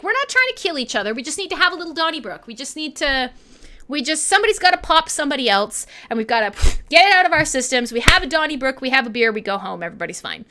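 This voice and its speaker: Bright, animated Canadian woman radio host. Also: high voice. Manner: sweary, jokey, rambling, laughs often. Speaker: online radio show host and DJ. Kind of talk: casual irreverent live radio chat between songs.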